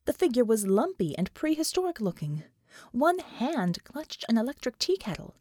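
Animated, high-voiced woman narrating fiction. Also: normal speed. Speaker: audiobook narrator. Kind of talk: dramatic scripted fiction narration.

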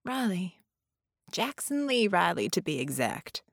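A woman's voice: on the low side, very animated, unhurried, vocal fry, husky, no echo, exaggerated voice. A woman voicing a weary, sardonic woman with frayed patience in an audiobook, blunt and dry in manner. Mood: smirking.

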